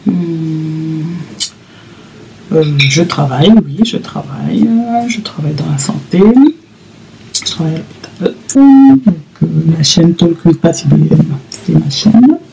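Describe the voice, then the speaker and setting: A woman in her thirties talking casually to camera in a vlog, halting with many fillers and repeats. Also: low-pitched, animated, gravelly, mellow, a little hiss or hum, poor audio. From Congo (DRC). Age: 30s. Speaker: vlogger.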